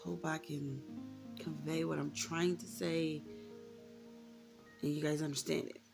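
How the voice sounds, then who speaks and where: Woman, thirties, American accent, gravelly fry, candid informal vlog talk, faint music behind. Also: low voice, flat delivery, unhurried. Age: 30s. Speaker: vlogger. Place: indoors, room with a closet.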